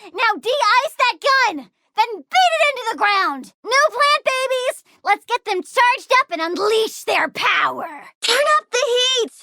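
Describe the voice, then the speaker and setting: A girlish plant supervillain from a video game, pitched very high and swooping freely between chirpy delight and sulky threat. She is perky, odd and cheerfully menacing, and the recording is close and dry. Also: slow pace, no room sound, heavily acted. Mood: gleeful, menacing. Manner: cutesy, playful, swinging from chirpy excitement to sulky menace. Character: childlike plant-girl supervillain, perky, eccentric, gleefully menacing. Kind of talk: video game character voice lines.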